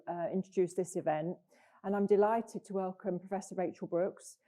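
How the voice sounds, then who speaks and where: A woman, a university provost, giving a gracious, measured introduction to a guest speaker at a lecture. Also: medium pitch, dark tone. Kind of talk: formal introduction at a public lecture.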